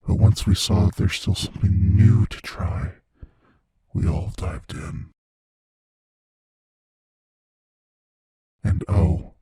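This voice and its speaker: A man voicing an ancient immortal monster in a horror ASMR roleplay, hushed and breathy, slow and menacing, world-weary yet savoring fear. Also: no room sound, full character voice. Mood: confiding, brooding. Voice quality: hushed, husky, breathy low voice. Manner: slow, menacing, philosophical, intimately taunting. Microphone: close studio mic, dry.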